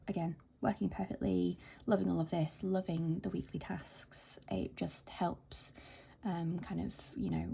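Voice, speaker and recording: Woman, hoarse, croaky, whispery, chatty, low pitch, dark tone. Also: a little hiss or hum. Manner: chatty, relaxed, many fillers. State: hoarse from illness. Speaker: planner vlogger. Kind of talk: casual chat to camera.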